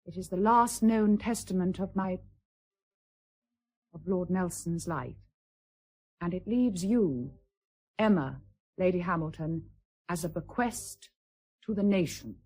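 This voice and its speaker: A woman's voice: fairly low pitch, slow, long pauses, darkish tone, slightly rough, full character voice. A woman playing a dignified, dutiful titled wife in a radio drama, formal and restrained. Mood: formal, composed.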